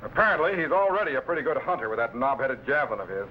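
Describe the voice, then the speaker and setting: A man acting a brisk, animated time-travelling mentor. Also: mid-range voice, close to an everyday voice. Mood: confident, intent. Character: confident adult time-travelling adventurer, mentor to youngster. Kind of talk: old-time radio science-fiction acting. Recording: dull, noisy old radio recording.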